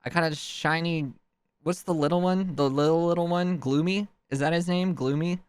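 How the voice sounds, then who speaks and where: Male streamer, stuffy nose, casual self-interrupting ramble, smooth clear tone, dry close sound. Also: fairly even intonation, normal speed, dry recording. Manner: rambling, self-interrupting, chuckling, jumps in pitch.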